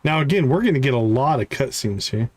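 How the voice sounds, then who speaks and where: A man's voice: medium pitch, animated, a little fast. A man giving casual live commentary as he plays a video game. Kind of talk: casual livestream gameplay commentary, reading game dialogue aloud.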